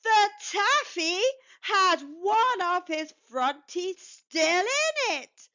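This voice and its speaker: High, animated woman reading a storybook. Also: highs cut off. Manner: measured, animated storytelling delivery. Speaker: children's storytime channel host and narrator. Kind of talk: expressive read-aloud storybook narration for young children.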